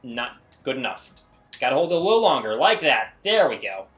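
A man's voice: medium pitch, very animated, a little fast, a bit bright, soft background music. Casual male gamer with self-deprecating commentary. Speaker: gaming video host.

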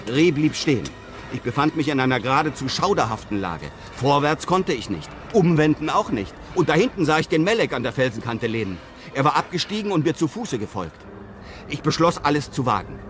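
A man playing a brave, composed European traveller who narrates his desert adventure in measured, literary sentences. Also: on the high side, sing-song, fairly bright tone, slight background noise. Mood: composed, suspenseful. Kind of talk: scripted first-person radio drama narration.

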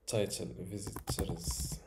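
Slow, low-voiced man teaching software. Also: level tone, background noise. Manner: slow, step-by-step instructions with fillers. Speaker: tutorial host. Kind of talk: screen-recorded software tutorial.

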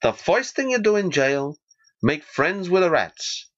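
Casting a cheeky newsboy, low voice swinging up and down, dull lo-fi sound, played by a woman. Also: big pitch swings, unhurried. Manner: cheeky, playful. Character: cheeky crippled newsboy, cheerful. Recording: dull lo-fi recording.

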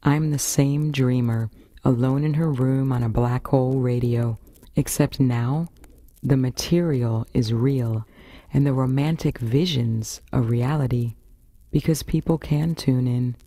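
American woman, radio host, scripted storytelling, soft dark tone, very dry recording. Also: rumbling low, a little slow, dry recording. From Florida, United States. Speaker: variety radio show host.

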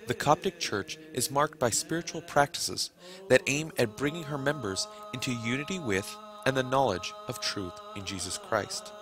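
A man reading a scripted documentary narration in a calm, deliberate way. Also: mid-range voice. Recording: music clearly under the voice.

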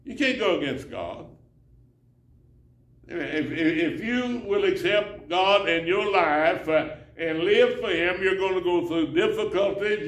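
Old guy preaching slow, kinda high voice, echoey church, mic far off. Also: fairly bright tone. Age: older. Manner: slow, preaching with scripture reading and hand gestures. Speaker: pastor. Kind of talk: sermon. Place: church sanctuary, indoors, seated. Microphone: far camera. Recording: roomy church echo, from far off.

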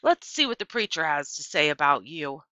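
Woman voicing a plucky, bright-voiced young girl. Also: sits low, big pitch swings, moderate pace, no echo, full character voice. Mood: coaxing. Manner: earnest, emphatic. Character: plucky, earnest young girl with a stray dog.

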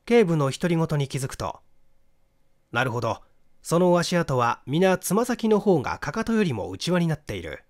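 A man reading a mystery novel aloud as scripted literary narration. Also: on the high side. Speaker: audiobook reader.

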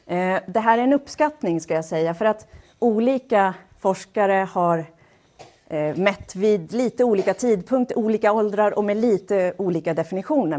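Lower-pitched woman giving a measured lecture. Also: darkish tone. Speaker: lecturer, speech-language pathologist. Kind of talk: public lecture, explanatory. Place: wood-panelled lecture hall, indoors. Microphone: headset.

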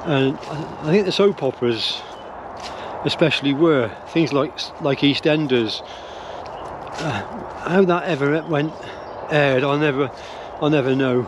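An older man rambles thoughtfully while walking outdoors, stopping and restarting his sentences. His voice sits a little high for a man. Clear outdoor noise from wind and distant traffic runs behind him. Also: slow pace. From England. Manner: musing, hesitant, many restarts and fillers. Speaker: vlogger. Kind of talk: rambling walk-and-talk opinion vlog. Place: woodland path outdoors while walking dogs. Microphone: phone or camera held close at arm's length.

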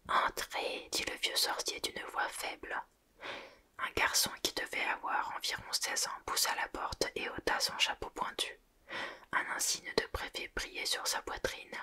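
A woman reading a fantasy novel aloud in a steady whispered ASMR style. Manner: steady, soft whisper throughout. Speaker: audiobook reader.

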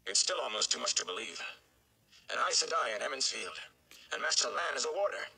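A flustered, stout old mayor played by a male reader, emphatic and excitable. His voice swoops about with a forceful, bright tone and some gravel, in storybook style. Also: medium pitch, a little fast, pitched above the performer's own voice, full character voice, poor audio.

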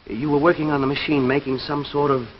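An American actor in his forties playing an anxious, determined father, urgent and halting, in 1950s live television drama style. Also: a little high, flat delivery, slight background noise, natural voice. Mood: urgent, pleading. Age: 40s. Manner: urgent, halting, insistent questioning. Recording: dull vintage TV soundtrack, music behind.

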